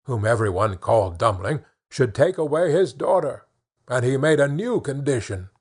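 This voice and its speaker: A man narrating a children's fairy tale in an expressive storytelling manner, with measured phrasing. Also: mid-range voice. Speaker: audiobook narrator.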